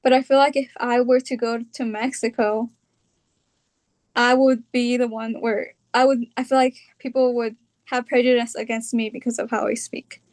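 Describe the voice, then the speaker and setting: A young woman from California with a California accent, talking about her own experience in a reflective, hesitant way, often pausing on fillers. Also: on the high side, level tone, a little mellow. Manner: hesitant, thinking aloud with fillers. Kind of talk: reflective personal talk.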